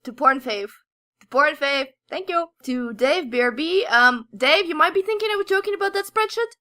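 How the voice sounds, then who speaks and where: A woman's voice: high-pitched, expressive, moderate pace, sharp tone. A woman co-hosting a podcast with casual, playful, teasing banter. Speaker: podcast co-host.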